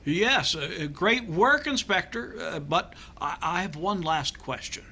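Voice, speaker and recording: A man's voice: sits high, expressive, a little slow, sharp tone, full character voice. A man playing a flustered, earnest doctor turned amateur sleuth in a 1950s-style radio comedy, hesitant and stammering. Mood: earnest, halting.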